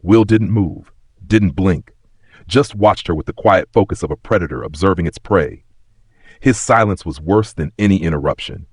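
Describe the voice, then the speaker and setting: Deep-voiced dramatic male story narrator. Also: moderate pace, breathy edge, no echo, studio quality. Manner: suspenseful, emphatic delivery.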